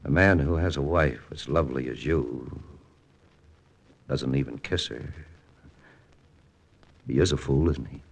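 An actor playing a brooding, jealous American lieutenant and undercover agent, terse and clipped, with an American accent, in 1940s-50s radio drama style. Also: male voice, a bass voice, measured pace, gravelly, pauses often, husky, exaggerated voice. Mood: jealous, curt. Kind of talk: 1940s-50s radio drama acting and first-person narration.

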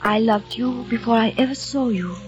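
A woman in a 1940s radio drama playing a gentle young eighteenth-century lady in love, speaking softly and yearningly. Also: a little high, normal speed, muffled recording, music in the background, natural voice. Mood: pleading. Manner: soft, yearning, pleading.

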